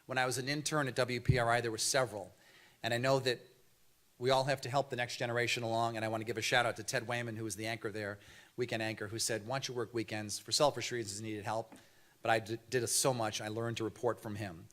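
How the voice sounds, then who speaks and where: A news anchor in his fifties accepts an award at a banquet podium, speaking into the microphone with a mild Boston accent. His delivery is measured and earnest, a prepared speech with some light storytelling humor. His voice sits at an ordinary middle pitch for a man. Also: flat delivery, fairly bright tone. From Boston area, USA.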